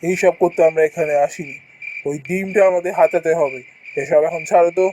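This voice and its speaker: Man as a high-pitched, scheming cartoon uncle. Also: fairly quick, warm tone, a little hiss or hum, natural voice, rough recording. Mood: conspiratorial. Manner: conspiratorial, instructing. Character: scheming greedy uncle, bossy and secretive. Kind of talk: Bengali fairy-tale cartoon acting.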